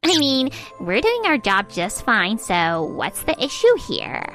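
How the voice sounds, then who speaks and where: A woman playing a smug, spoiled young saleswoman in an animated manga dub, with dramatic casual phrasing. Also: medium pitch, a little slow, soft background music, heavily acted.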